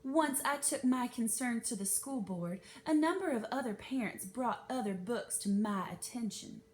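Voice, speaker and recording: A woman's voice: animated, moderate pace, close to an everyday voice. A woman voicing a prim, sweetly smug, insistent mother in a children's storybook reading, speaking emphatically. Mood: self-righteous. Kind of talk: children's novel read-aloud. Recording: noisy with low rumble.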